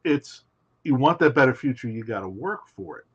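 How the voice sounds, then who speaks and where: A man's voice: medium pitch, expressive, moderate pace, a bit bright, slightly rough. Man telling a story over dull audio. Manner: detailed storytelling with frequent "you know". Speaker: podcast guest, writer. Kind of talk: casual interview talk.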